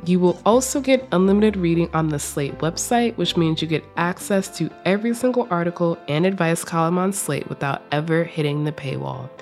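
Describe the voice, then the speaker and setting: A woman with a somewhat low-pitched voice hosts a podcast. Her delivery is conversational, moving between interview questions and notes to listeners, at times over faint music. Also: brisk, few pauses, darkish tone. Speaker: podcast host.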